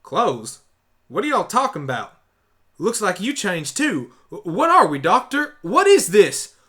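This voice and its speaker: A man's voice: sits high, wildly swooping pitch, a little slow, pushed and bright, voice pushed up, heavily acted. A man voicing a blunt, stubborn young country pony in a fan audio drama. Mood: confused, irritated. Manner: folksy, blunt, exclamatory. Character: plain-spoken, stubborn young country mare pony turned human.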